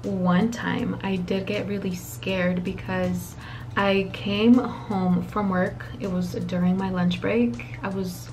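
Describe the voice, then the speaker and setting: A young woman in her twenties telling a story to her camera in a casual, rambling way. She is profane, leans on lots of fillers, drops in Spanish phrases, and her voice is a little raspy from a dry mouth. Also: mid-range voice, level tone, takes it slowly, darkish tone, a little hiss or hum. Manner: chatty, profane, rambling with many fillers and asides; mixes in occasional Spanish phrases. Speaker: vlogger.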